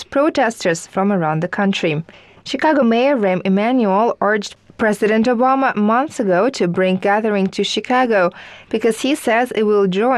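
A woman reading scripted news reports in a steady, even manner. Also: medium pitch, no room sound. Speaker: news presenter.

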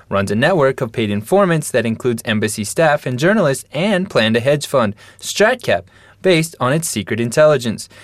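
A male newsreader delivering a radio news report in a steady, formal way. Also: medium pitch, dry recording.

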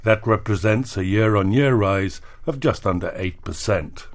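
A man's voice: on the low side, moderate pace. A man reading scripted news and press summaries as a radio newsreader.

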